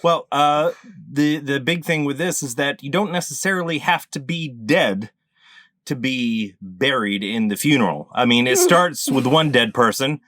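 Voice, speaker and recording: Bright, close-sounding middle-aged man. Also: mid-range voice, lively intonation, a little slow, no echo. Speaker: comedy panelist. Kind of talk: improvised comic explanation.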